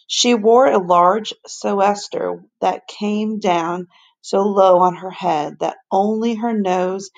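A woman teacher reading a children's novel aloud to her class in a steady, expressive way. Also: medium pitch, creaky.

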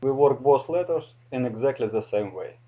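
A man with a Russian accent, a native Russian speaker, narrating a step-by-step tutorial slowly and carefully, with frequent hesitations. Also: medium pitch, animated, highs cut off. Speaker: balloon twisting instructor.

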